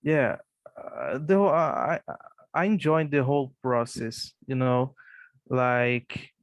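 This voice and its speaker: A Brazilian man, a native Portuguese speaker, speaks English with a Portuguese accent in a casual practice conversation. He talks slowly and hesitantly, full of fillers and restarts. The sound is dry and close. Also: mid-range voice, expressive, dry recording. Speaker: English learner.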